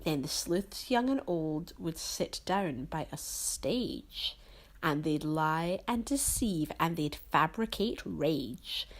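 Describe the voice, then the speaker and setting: Expressive, lower-pitched woman telling a rhyming story. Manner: theatrical, expressive delivery with drawn-out emphasis.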